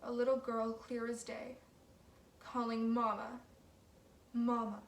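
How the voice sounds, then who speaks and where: A woman acting an uneasy young woman recalling her childhood in a naturalistic stage monologue, with hushed, conversational storytelling. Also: fairly high pitch, flat delivery, slow. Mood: haunted, hushed. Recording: roomy, distant mic, faint background noise.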